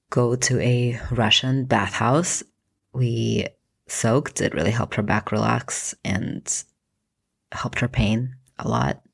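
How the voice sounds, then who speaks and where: A woman's voice: rumbling low, gravelly. A woman hosting a podcast, chatting casually with a guest and narrating between talks. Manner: casual, conversational. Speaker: podcast host.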